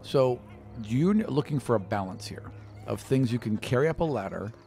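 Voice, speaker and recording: A man's voice: mid-range voice, animated, moderate pace, vocal fry, a little mellow. A man narrating a tabletop role-playing game as game master, improvising descriptions in a conversational way.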